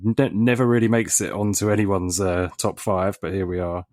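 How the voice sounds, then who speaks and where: Deep-voiced guy on a podcast, laid-back, dry sound. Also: steady tone, moderate pace, darkish tone, dry recording. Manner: laid-back storytelling with ums. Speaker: podcast co-host. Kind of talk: casual chat.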